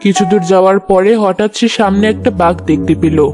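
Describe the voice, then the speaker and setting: A woman's voice: mid-range voice, steady tone, fairly quick, over loud music. A woman narrating a scripted folk tale as a storyteller.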